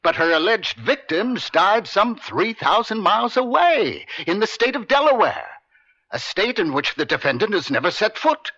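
An actor playing an earnest defense lawyer in an old-time radio drama, arguing formally and oratorically. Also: male voice, high-pitched, big pitch swings, normal speed, pushed and bright, heavily acted. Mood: insistent, formal. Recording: dull vintage radio drama recording.

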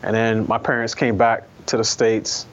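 Male guest, Barbados-born New Yorker, measured and reflective, slightly muffled recording. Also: fairly low pitch, level tone. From Barbados-born, raised in New York. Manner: thoughtful, measured, many ums and restarts. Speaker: guest columnist. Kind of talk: personal storytelling interview. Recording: slightly dull lo-fi sound.

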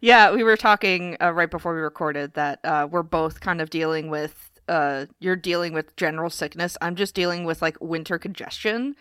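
A woman's voice: lowish voice, moderate pace, few pauses, a bit bright, clean tone, dry recording, studio quality. A woman co-hosting a casual hockey podcast, congested and stuffy, chatting with plenty of fillers. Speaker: podcast co-host. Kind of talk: casual sports podcast chat.

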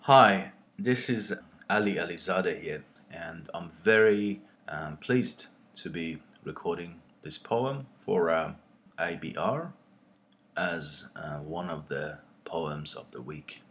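A male poet speaking in a thoughtful, deliberate way, with pauses between short phrases. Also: deep voice, fairly bright tone.

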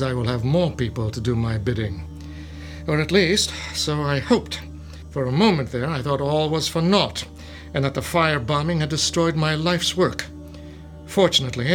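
A man's voice: mid-range voice, lightly acted. A man playing a smug gloating mad scientist. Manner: smug, theatrical, gloating with soft laughs. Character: gloating male mad scientist villain, fungus-obsessed. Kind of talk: radio drama acting.

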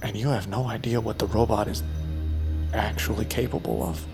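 A man's voice: on the low side, fairly even intonation, normal speed, over music, lightly acted. An actor playing a blunt, skeptical man who questions the others pointedly in an audio fiction drama. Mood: skeptical, pressing.

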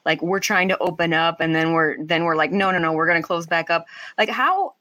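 A woman's voice: fairly low pitch, a little fast, pushed and bright, dry recording, clean studio sound. A woman hosting an interview in a casual, conversational style. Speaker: interview host.

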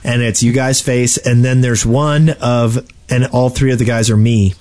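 A man's voice: medium pitch, level tone, normal speed, darkish tone. A man co-hosting a podcast, chatting casually and bantering with his friends. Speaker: podcast co-host.

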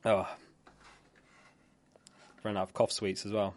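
A man's voice: lowish voice, expressive, slow, vocal fry, slight background noise. A man who is losing his voice, hosting a live fantasy football stream in a chatty way, reading out viewer comments and laughing along.

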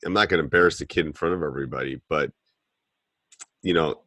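A man with a deep voice giving coaching advice in a casual, plain-spoken way, often repeating himself. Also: quick pace, bright, forceful tone, no echo. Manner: plain-spoken, repeats phrases, acts out what he tells players.

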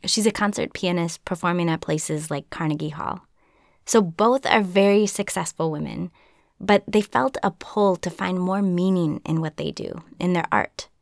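A woman from Minnesota hosting a podcast, narrating a script in an earnest, measured way. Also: on the low side, gravelly, no echo, studio quality. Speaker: podcast host.

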